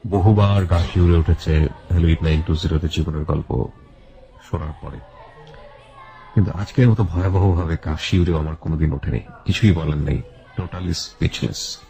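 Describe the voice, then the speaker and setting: A Bangladeshi man with a low voice hosts a radio life-story interview. He prompts with short questions, echoes what he hears and drops English phrases into his talk. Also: moderate pace, warm tone. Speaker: radio show host.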